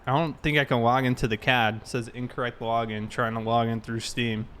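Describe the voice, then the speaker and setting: A man's voice: medium pitch, little pitch movement, fairly quick, fairly bright tone. A man chatting casually on a game livestream, greeting viewers in a friendly way. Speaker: live streamer playing a police roleplay game. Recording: clear background noise.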